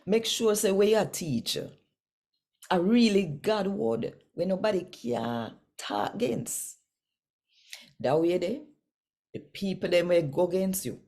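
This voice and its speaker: A woman with a Jamaican accent, hosting and reading scripture devotionally in a deliberate, phrase-by-phrase way. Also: on the low side, expressive, gravelly, a little mellow. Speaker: host and scripture reader.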